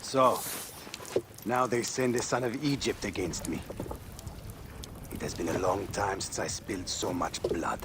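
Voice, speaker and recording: A man's voice: on the low side, measured pace, long pauses, background noise, natural voice. An actor playing a hunted rebel father in a video game cutscene, speaking with a wary, urgent, conspiratorial manner.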